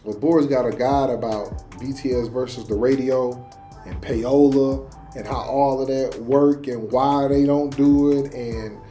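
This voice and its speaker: A man hosts a reaction show in loose, slangy conversation. His voice sits at a medium pitch with a soft, mellow tone. Faint music plays behind him. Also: steady tone, a little slow. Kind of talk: casual reaction chat.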